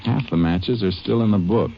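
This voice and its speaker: Deep-voiced, matter-of-fact Texas Ranger played by an actor. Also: male voice, moderate pace, lightly acted. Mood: businesslike. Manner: clipped, businesslike, matter-of-fact. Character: steady, shrewd Texas Ranger investigator. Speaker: lead character and narrator. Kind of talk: 1950s radio drama acting. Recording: noisy, dull 1950 radio broadcast recording.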